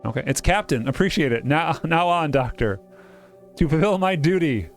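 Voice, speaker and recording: A man hosting a game playthrough, chatting casually in a halting way and reading text aloud. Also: fairly high pitch, animated, faint music behind.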